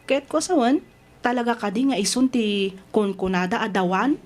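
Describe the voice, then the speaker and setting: A woman playing a chatty young best friend in an Ilocano radio drama, teasing and animated. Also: on the high side, normal speed, close to an everyday voice. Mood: concerned.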